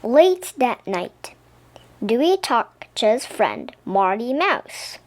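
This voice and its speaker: A woman narrates a picture book for children in a high voice. Her delivery is very animated and unhurried, shaping each phrase for young listeners. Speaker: storybook reader. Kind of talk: expressive children's story narration.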